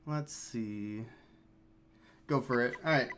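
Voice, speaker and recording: A man's voice: medium pitch, takes it slowly, slight background noise. Chatty man commentating a live speedrun. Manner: relaxed, chatty, explaining as he plays. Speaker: speedrunner commentating his run.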